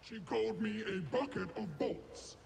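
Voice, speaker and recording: A literal-minded killer robot for a video game. The voice is high-set and heavily crackling with gravel, processed into a mechanical deadpan. Stiff, clipped phrases carry cold superiority. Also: male voice, moderate pace. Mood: deadpan menace. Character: literal, arrogant, ruthless heavy combat droid.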